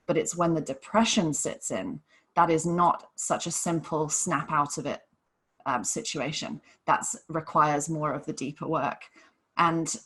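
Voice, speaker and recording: Woman from Hong Kong, gentle earnest coach, lowish voice, live panel guest. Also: husky. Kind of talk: live online panel talk.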